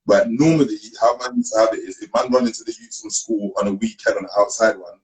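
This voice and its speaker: A man from Cameroon, a guest on a video call interview, telling his story in relaxed street slang. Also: fairly low pitch, fast talker, poor audio. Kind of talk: casual interview.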